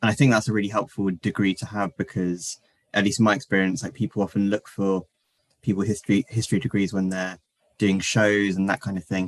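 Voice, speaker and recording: A young man with a very low voice talks casually about his work. He is relaxed and hesitant, and he pauses often on fillers. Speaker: alumnus of a documentary training scheme. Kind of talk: casual panel chat.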